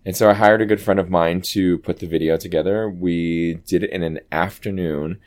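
A man with a low voice, an actor telling stories in a casual interview and acting out quoted lines. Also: normal speed. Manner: animated, chatty, acts out quoted dialogue. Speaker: Broadway actor guest.

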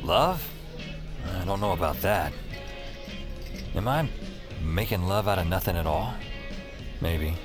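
A man's voice: low-pitched, lively intonation, unhurried, long pauses, over music. An actor playing a world-weary, wisecracking drinker who narrates his own nights in an audio drama, with a sardonic, wry delivery. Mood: smitten, rambling. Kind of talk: first-person audio drama narration and dialogue.

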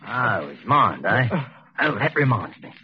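An actor playing a gruff, bossy prison guard in a 1940s radio drama, with brusque, uneducated, colloquial speech. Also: male voice, medium pitch, sing-song, measured pace. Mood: brusque, casual. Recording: very noisy, muffled old radio recording.

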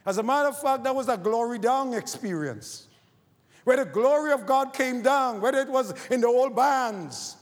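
High-voiced Barbadian preacher in a reverberant hall. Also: male voice, expressive, moderate pace. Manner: reads scripture quietly, then rises to fervent shouted preaching. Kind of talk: sermon.

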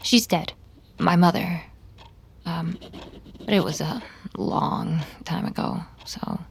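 An actress playing a guarded, sorrowful female vampire in an audio drama, with a measured, introspective, hesitant delivery. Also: on the low side, vocal fry, slight background noise. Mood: guarded, evasive. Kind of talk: audio drama narration and dialogue.

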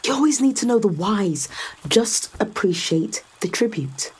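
A woman's voice: mid-range voice, very animated, unhurried, a little mellow, breathy edge, heavily acted, rough recording. An actress playing a confident, sardonic woman in a science fiction audio drama, explaining things to a skeptical visitor with assured, dryly teasing delivery. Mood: confident, coaxing.

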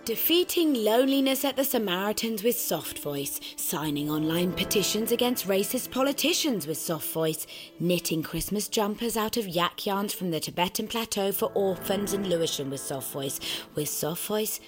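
An actress voicing a coolly smug, controlling disembodied guide, precise and arch, narrating and coaching in an audio drama. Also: female voice, mid-range voice, lively intonation, normal speed, music in the background, close to an everyday voice. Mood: triumphant. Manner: precise, arch, grandiose, instructing.

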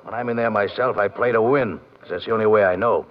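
A man's voice: on the low side, fast, lightly acted. An actor playing a weary, plainspoken aging ballplayer and proud father, resigned and wistful, in an old radio drama. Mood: resigned, friendly. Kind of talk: golden-age radio drama acting. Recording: muffled vintage radio recording.

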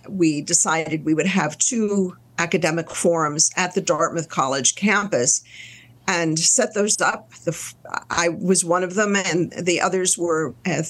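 Woman professor, lowish voice, measured, academic, interview guest.

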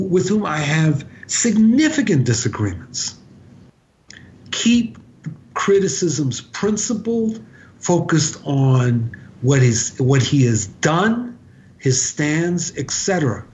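A man giving conversational commentary as an interview guest, deliberate and emphatic, pausing haltingly and repeating for stress. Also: a little high, slight background noise. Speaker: podcast guest, author and activist. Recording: dull, lo-fi podcast audio.

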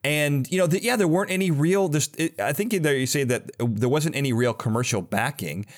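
A man's voice: a little high, a little fast. A man hosting a podcast, chatting casually and enthusiastically with rambling, conversational asides. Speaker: podcast host. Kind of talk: casual enthusiastic interview chat.

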